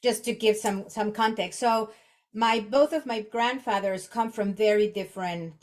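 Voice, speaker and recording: Mexican-accented woman, halting and conversational. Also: medium pitch, darkish tone. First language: Spanish. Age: 40s. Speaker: podcast guest, executive coach. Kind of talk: conversational interview, personal reflection.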